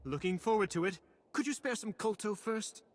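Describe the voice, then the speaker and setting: An actor playing a smug, unflappable crooked alien boss in a video game, smooth-talking and flippant as he haggles. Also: sits high, normal speed, natural voice. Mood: wry. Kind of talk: video game cutscene acting.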